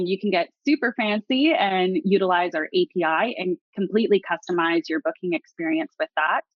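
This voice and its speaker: Low-voiced woman explaining software. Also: no room sound, dull audio. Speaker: customer success staff. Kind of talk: casual video call interview.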